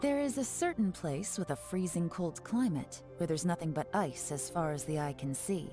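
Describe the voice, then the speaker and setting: A woman voicing a shrewd, self-assured female intelligence agent in a video game, composed and deliberate. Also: lowish voice, music in the background, natural voice. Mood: cool, analytical.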